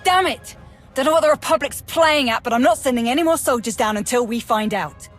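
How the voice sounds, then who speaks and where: Wry brash major for a game, highish voice, straight talking, bit of music under. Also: female voice, expressive, a little fast. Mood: irritated, brash. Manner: breezy, blunt, wry. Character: brash, wry female imperial military major. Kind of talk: video game voice acting.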